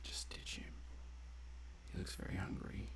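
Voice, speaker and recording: A man giving casual gameplay commentary, muttering asides and exclaiming as he reacts out loud. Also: measured pace, whispered. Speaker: gamer narrating a playthrough.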